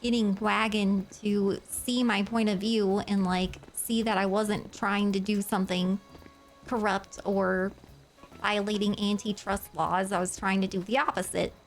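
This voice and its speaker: A woman's voice: mid-range voice, flat delivery, unhurried, faint music behind, lightly acted, poor audio. A woman playing a female governor facing corruption charges, talking earnestly in live roleplay, with a hopeful, rambling manner. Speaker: game character.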